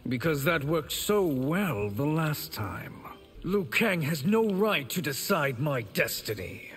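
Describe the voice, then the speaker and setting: Theatrical, sly sorcerer, swooping pitch. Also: male voice, big pitch swings, slow pace, a bit bright, full character voice. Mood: sly, mocking. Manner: theatrical, mocking. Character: scheming, ambitious male sorcerer, arrogant and sly. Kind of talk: fighting game character dialogue. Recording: long reverb, music behind.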